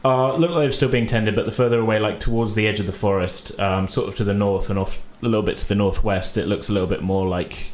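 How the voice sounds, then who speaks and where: A man's voice: fairly low pitch, steady tone, fast talker, few pauses. Man, British accent, casual game master, rambling improvised narration, roomy echo, faint noise. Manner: hesitant, rambling delivery.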